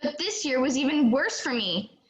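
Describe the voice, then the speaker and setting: High-pitched theatrical runaway teenage girl, acted by a woman. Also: animated, moderate pace. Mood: dramatic, confiding. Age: young adult. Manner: earnest, theatrical storytelling. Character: melodramatic, chatty runaway high school senior girl. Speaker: student actor in a play. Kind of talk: amateur stage play acting. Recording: roomy, echoing sound.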